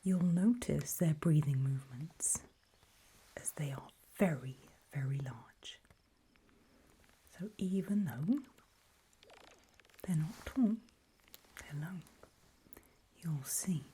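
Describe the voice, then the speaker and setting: A woman whispering softly and breathily in an ASMR roleplay, giving calm, deliberate instructions with pauses, close to the microphone. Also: low-pitched, slightly rough. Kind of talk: whispered ASMR roleplay, scripted guide briefing.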